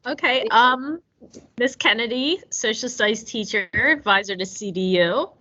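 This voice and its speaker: Woman teacher; low, crisp-toned, thoughtful and halting, lo-fi take. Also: sharp tone. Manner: hesitant, thoughtful. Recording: muffled lo-fi recording.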